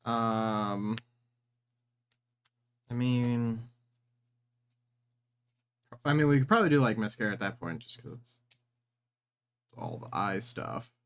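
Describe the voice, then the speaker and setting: A man's voice: lowish voice, lively intonation, slow pace, pauses often, fairly bright tone, clean tone. Easygoing man in casual chat. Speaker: co-host, streamer's husband.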